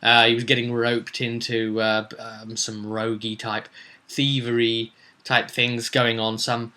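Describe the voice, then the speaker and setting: A man's voice: mid-range voice, monotone, unhurried, bright, forceful tone. A man talking as a game master in a casual storytelling vlog, with theatrical emphasis and rambling asides. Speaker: game master recapping a tabletop roleplaying campaign.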